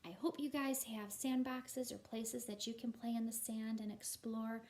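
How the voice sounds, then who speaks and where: A woman's voice: a little high, fairly even intonation, moderate pace, darkish tone. A woman, a primary school teacher, chatting to young pupils in an encouraging way. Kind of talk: read-aloud and chat to young pupils. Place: at home.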